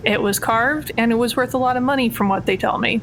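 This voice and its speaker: A woman's voice: quick pace, close to an everyday voice. A woman playing a wry, skeptical jailed thief in a tabletop game, casual and sardonic. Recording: noticeable low rumbling background noise.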